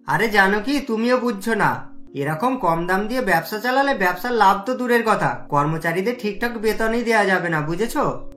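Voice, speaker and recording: Woman playing a fretful young guy running a cheap eatery, mid pitch, grumbly, cartoon. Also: animated, moderate pace, a bit bright, faint music behind, lightly acted. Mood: complaining. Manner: fretful, complaining, sometimes scared. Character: young man, struggling dhaba owner. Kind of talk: cartoon voice acting.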